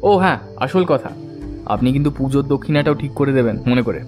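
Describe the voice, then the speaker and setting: A male actor plays an earnest family man narrating a dramatised audio story. His medium-pitched voice is sincere and conversational, with a slight hesitancy. Faint music plays behind him. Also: expressive, brisk, a little mellow, lightly acted. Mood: relieved, cheerful. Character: earnest devout family man recounting his troubling dream. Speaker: narrator and character. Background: faint background music.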